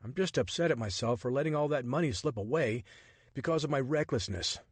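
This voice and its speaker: A man's voice: mid-range voice, a little fast, husky, no echo, pitched above the performer's own voice, close to an everyday voice. A man reading a story voices a flustered, sarcastic American adventurer who is defensive and exasperated. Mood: self-reproachful.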